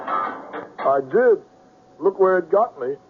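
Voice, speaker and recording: An actor playing a wordy, rueful swindler in a 1970s radio drama, florid and self-pitying. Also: male voice, high voice, animated, takes it slowly, over music. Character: wordy, rueful male con man under arrest. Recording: muffled, phone-like old radio recording.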